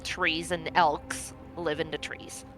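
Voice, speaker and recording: A woman's voice: low voice, level tone, slow, a bit bright, faint music behind. A woman talking casually as a player in a tabletop roleplaying game.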